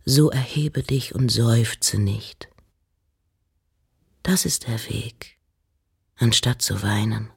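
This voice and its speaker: A woman giving an intimate, measured dramatic reading of poems and letters, at times dropping close to a whisper. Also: a bass voice, vocal fry, a little mellow, husky. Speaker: reciter.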